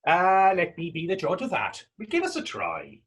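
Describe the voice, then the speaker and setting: A man's voice: high-pitched, wildly swooping pitch, moderate pace, fairly bright tone, husky, full character voice. A man playing a curious, sympathetic Irish companion with a poetic streak in a readers theatre drama, speaking in florid old-fashioned phrases with an Irish accent. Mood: curious, teasing. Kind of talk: stage readers theatre acting in dialect.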